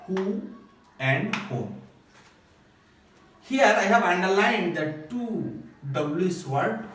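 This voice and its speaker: A man with a Bangladeshi accent teaching a school English grammar lesson, explaining deliberately and emphatically phrase by phrase. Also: fairly high pitch. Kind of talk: recorded classroom grammar lesson. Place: echoey indoor room at a board. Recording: very echoey room, distant microphone.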